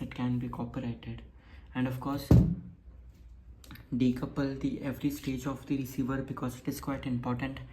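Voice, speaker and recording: A woman giving an informal technical demonstration, speaking in short, plain statements that she often repeats. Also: extremely low pitch. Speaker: hobbyist radio builder presenting. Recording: noisy home recording with steady hiss.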